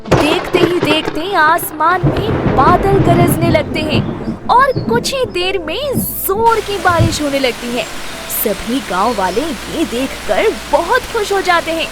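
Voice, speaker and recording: A woman's voice: very high-pitched, lively intonation, slow. A woman narrating a scripted children's story as its storyteller. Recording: loud music under the voice.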